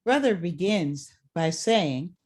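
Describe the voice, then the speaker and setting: A woman announcing and reading stage directions for a play in a formal, measured, scripted manner. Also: on the low side, expressive, darkish tone, breathy edge. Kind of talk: formal introduction and scripted narration.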